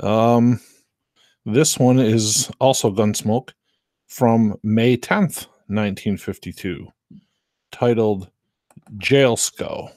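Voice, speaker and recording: Slow-talking Southern American man, dry sound. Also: on the low side, darkish tone, no echo. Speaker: host presenting classic radio shows. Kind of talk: casual, unscripted introduction.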